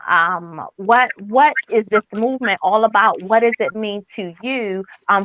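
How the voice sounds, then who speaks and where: A woman's voice: mid-range voice, no echo. Woman, host, casual chat, upbeat, restarts a lot, dull dry call audio. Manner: upbeat, warm greetings, fillers and restarts. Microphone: video call.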